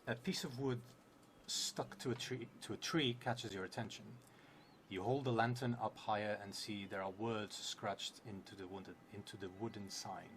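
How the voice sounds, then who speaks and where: Man, thirties, lowish voice, solo game streamer, unhurried, thinking aloud, murmured asides, noisy indoor recording. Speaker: solo tabletop role-playing game streamer. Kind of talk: narrating a solo card game on a live stream. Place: indoor room at a theatre, at a table. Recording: noisy room with steady background noise.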